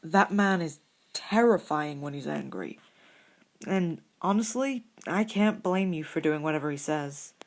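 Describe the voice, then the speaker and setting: A woman's voice: low-pitched, animated, a little slow. Female reader as unflappable young schoolboy, wry, thoughtful, teasing, storybook character. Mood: dramatic.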